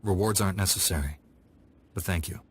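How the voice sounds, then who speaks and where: An actor playing a confident young Jedi knight with a wry, dry manner. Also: male voice, low voice, a little fast, breathy edge. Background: game score music.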